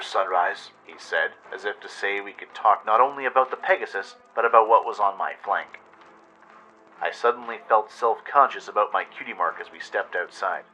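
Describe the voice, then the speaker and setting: Forceful lower-voiced man narrating a story. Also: poor audio. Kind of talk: dramatic scripted story narration. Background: music.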